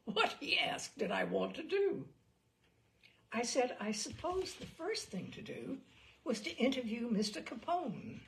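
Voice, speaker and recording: A woman reading a memoir aloud in an expressive, dramatic storytelling style with wry asides. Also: mid-range voice, gravelly. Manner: lively, dramatic phrasing with asides. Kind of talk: expressive audiobook-style narration.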